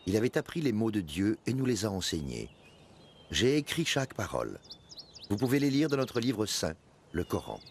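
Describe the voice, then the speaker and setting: Deep-voiced solemn male storyteller. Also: big pitch swings, moderate pace, soft background music. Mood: reverent. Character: male companion of the Prophet, solemn devout storyteller recalling hardship. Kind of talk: dramatized first-person narration for an animated documentary.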